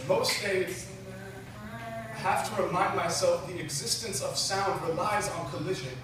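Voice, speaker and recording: A young man in his late teens delivers slam poetry in a high voice, shaping each phrase deliberately and dramatically. He is recorded from a distance in a very echoey room, with clear background noise. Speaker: slam poet. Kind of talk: performed spoken-word slam poetry. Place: live poetry slam venue.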